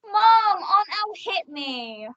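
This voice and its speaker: Young woman doing a cheeky curious kid in a radio play, high pitched, talks slow, muffled audio. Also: big pitch swings, a bit bright, exaggerated voice. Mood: whiny, cheeky. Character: curious, cheeky young daughter who interrupts. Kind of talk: amateur radio play acting. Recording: dull lo-fi home recording.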